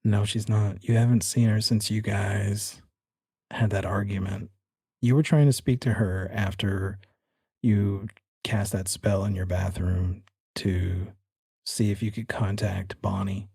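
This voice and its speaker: Low-voiced man narrating a horror game. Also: fairly even intonation, unhurried, vocal fry, warm tone, slightly rough, dry recording. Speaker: game master and show host.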